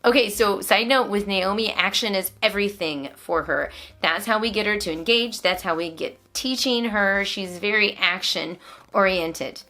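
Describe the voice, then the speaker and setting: Bright-voiced woman explaining to parents. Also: mid-range voice, normal speed. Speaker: homeschooling mother and vlog host. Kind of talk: explanatory vlog narration to parents.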